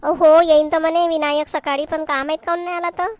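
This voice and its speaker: A woman's voice: very high voice, level tone, fairly quick, no room sound. A woman voicing a dutiful village mother in a cartoon family comedy, with a rural Maharashtrian accent. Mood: agreeable. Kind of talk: homemade cartoon comedy dialogue.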